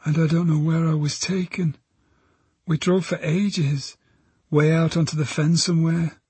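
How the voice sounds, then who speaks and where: A man's voice: fairly high pitch, fairly even intonation, measured pace, darkish tone, slightly rough, no room sound, pitched above the performer's own voice. A man voicing a frightened, defiant injured teenage girl, sullen and wary, in an audiobook. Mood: subdued, uneasy. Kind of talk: audiobook character voice.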